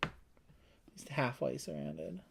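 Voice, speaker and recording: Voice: mid-range voice, big pitch swings, slow pace, vocal fry, fairly bright tone, slight background noise. A person chatting casually while co-hosting a board game playthrough, explaining things as they go in a talkative way.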